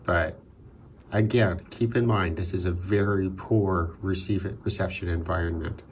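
A man's voice: low voice, steady tone, a little slow, a little hiss or hum. A man giving a casual, unscripted radio hobby demonstration, thinking aloud a little hesitantly. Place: indoors in a concrete block building.

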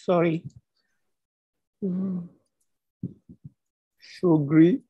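A middle-aged man from Malaysia giving an online conference talk over a video call, halting and hesitant, with many fillers and apologies. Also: high voice, flat delivery, breathy edge, no room sound, dull audio. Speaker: conference speaker. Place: indoors at a computer.

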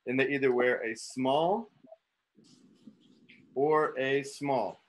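A man's voice: mid-range voice, takes it slowly. A man talking casually and in a rambling, informal way on a video call. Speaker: writer and actor. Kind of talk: casual chat. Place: small room on video call.

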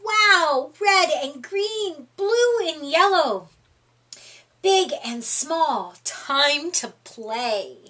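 A woman's voice: high voice, expressive, drawn-out words, sharp tone, heavily acted. A woman voicing an eager, helpful young female puppy in a children's picture book, in simple exclamatory phrases. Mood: delighted.

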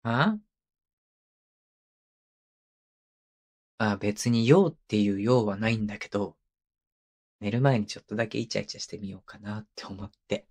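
A man's voice: lowish voice, fairly quick, darkish tone. A male voice actor playing a playful, clingy, childlike young husband in an intimate ASMR drama, coaxing and teasing in a casual, hesitant way. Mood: coaxing, sheepish. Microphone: close microphone, binaural.

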